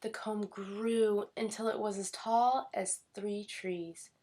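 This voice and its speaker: A woman narrates a children's tale from a book. Her delivery is expressive read-aloud storytelling, at a middle pitch. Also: slow pace. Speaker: storybook reader.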